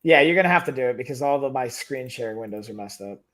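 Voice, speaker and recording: A man's voice: mid-range voice, lively intonation, fast talker, a bit bright. Laid-back man hosting a livestream. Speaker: podcast host, fitness coach. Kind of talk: casual livestream chat.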